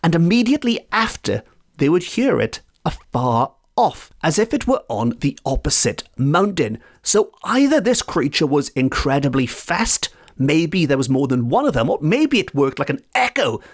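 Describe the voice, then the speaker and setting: Man from Wales, Welsh speaker, podcast host, animated storyteller, fairly high voice, swooping pitch. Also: moderate pace. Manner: lively, animated storytelling with playful asides. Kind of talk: folklore podcast narration. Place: home in a residential area.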